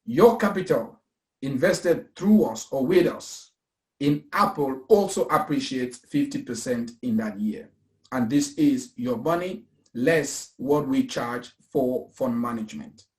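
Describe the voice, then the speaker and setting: Earnest man pitching investments, echoey room. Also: expressive, unhurried, a little mellow. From Cameroon. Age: 30s. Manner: earnest, repetitive, preacher-like emphasis. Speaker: investment company founder. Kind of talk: casual pitch talk.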